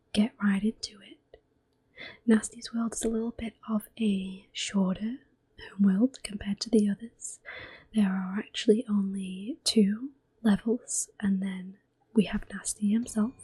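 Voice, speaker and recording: A young woman whispering gentle, unhurried commentary while playing a video game for an ASMR video. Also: mid-range voice, slightly rough. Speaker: ASMR gaming host.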